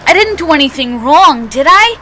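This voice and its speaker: An earnest, streetwise little child, acted by a woman in an old-time radio drama. The voice is high and naive, full of slang, over slightly noisy sound. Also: lively intonation, normal speed, bright, forceful tone. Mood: puzzled, worried. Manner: plain slangy kid talk.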